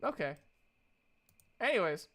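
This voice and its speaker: A man's voice: high voice, sing-song, unhurried, a crowd in the background. A man running a tabletop game, switching between casual rules chat and informal narration as game master.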